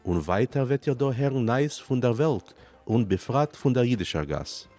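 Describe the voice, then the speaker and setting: A man reading a scripted promo for a radio program in a steady, listing delivery. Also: medium pitch, normal speed, faint music behind. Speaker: station announcer.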